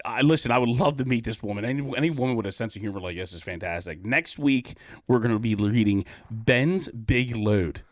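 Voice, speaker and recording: A man's voice: medium pitch, a little fast, no room sound, studio quality. A man co-hosting a podcast, chatting casually and jokingly. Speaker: podcast co-host. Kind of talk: casual comedic podcast chat.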